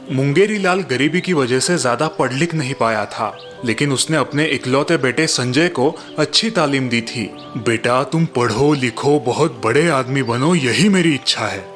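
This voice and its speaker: A man's voice: medium pitch, a bit bright. A man reading scripted narration for a moral story, as its narrator.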